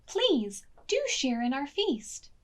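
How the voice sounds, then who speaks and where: A woman's voice: high voice, very animated, slow pace, pitched above the performer's own voice, heavily acted. A woman voicing a kindly, gracious little fairy in a storybook, polite and careful. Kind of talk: storybook character voice.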